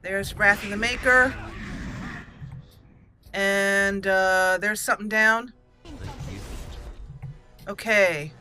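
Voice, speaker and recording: A woman hosts a casual gaming playthrough in a bright, clear-edged voice with unhurried pacing. She thinks aloud and addresses the characters as she goes, with music behind her. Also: mid-range voice, fairly even intonation. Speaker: gaming channel host. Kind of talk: casual let's play commentary.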